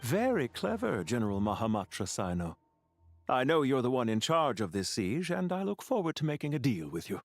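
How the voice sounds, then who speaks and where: Game villain, courteous schemer, insinuating and deliberate, expressive pitch, music underneath. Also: male voice, no echo. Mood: smug. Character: smooth, calculating male villain, courteous and menacing. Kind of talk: scripted video game voice acting.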